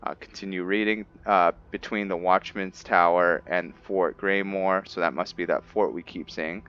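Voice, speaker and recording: A man's voice: deep voice, steady tone, moderate pace, fairly bright tone, clear, resonant tone, muffled recording. A man giving casual, hesitant commentary on a video game, muttering asides to himself and sometimes reading text aloud. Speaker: gamer hosting a roleplay playthrough.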